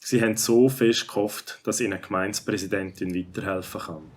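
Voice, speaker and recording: A male narrator tells a children's story with a Swiss German accent. His voice is on the low side. The delivery is scripted storytelling. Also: fairly even intonation, a little fast, a little hiss or hum.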